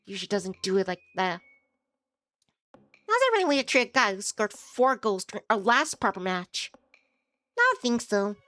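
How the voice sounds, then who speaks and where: A woman's voice: mid-range voice, big pitch swings, moderate pace, long pauses, a bit bright, dry recording. A woman streamer casually narrating a visual novel as she reads it aloud. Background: music.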